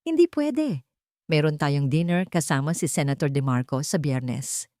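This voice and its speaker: A woman's voice: low-pitched, lively intonation, a little slow, mellow, no room sound, studio quality. A woman voicing a cold, controlling politician husband in an audio storybook, with a stern, commanding manner. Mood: displeased.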